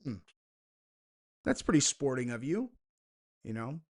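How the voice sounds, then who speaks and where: A man's voice: mid-range voice, big pitch swings, unhurried, a little mellow. A young man talks through a reaction video in his ordinary mid-range voice. He rambles, drops in dry sarcastic asides, and leans on filler words like "like" and "you know". The tone is loose and conversational. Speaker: commentary video host. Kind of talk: casual reaction commentary.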